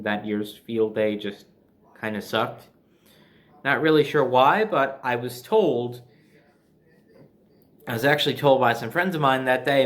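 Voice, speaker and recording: A man's voice: mid-range voice, fairly bright tone. A man from New Jersey with an American accent tells a personal story casually, conversationally, with sardonic asides. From New Jersey, USA.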